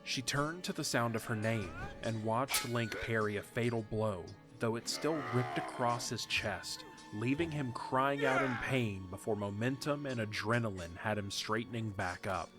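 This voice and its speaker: A man narrating a fan audio drama in a steady, measured storytelling manner. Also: mid-range voice. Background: music.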